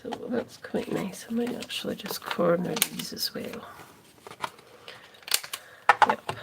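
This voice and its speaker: A woman's voice: mid-range voice, creaky, heavy background noise. A Scottish woman hosting a casual crafting livestream, chatting with viewers and answering their comments as she works. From Scotland. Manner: slow, soft, drifting into near-whispers as she concentrates.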